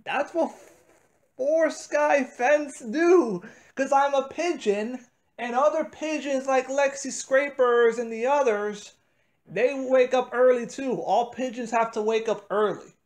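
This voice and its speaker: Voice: high voice, slow pace. A person improvising a phone skit as a tired pigeon who wants to sleep, complaining and insisting. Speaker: prank call participant playing a pigeon.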